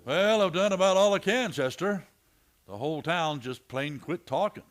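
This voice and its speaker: A man's voice: a little high, expressive, normal speed, lightly acted. A man playing a weary, dogged Old West marshal in a radio play, terse and questioning. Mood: resigned. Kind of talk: western radio play acting.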